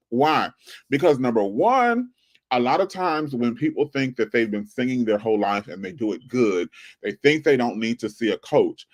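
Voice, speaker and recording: Earnest man in his forties giving advice. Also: mid-range voice. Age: 40s. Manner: earnest, repetitive, preachy coaching tone. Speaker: channel host. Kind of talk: casual livestream advice talk.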